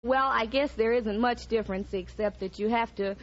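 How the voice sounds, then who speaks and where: A woman's voice: on the high side, normal speed. A young American woman politely answering a host's questions as a contestant. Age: young adult. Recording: muffled vintage radio with live audience.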